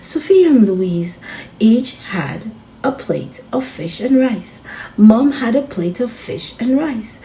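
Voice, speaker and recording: A woman reading a children's storybook aloud as host and narrator, expressive and addressing children as friends. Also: on the high side, takes it slowly, slight background noise, low-quality recording.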